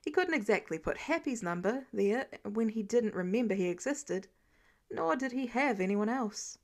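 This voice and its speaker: A woman's voice: a little high, expressive, moderate pace. A woman reading scripted fan fiction narration as an audiobook-style storyteller.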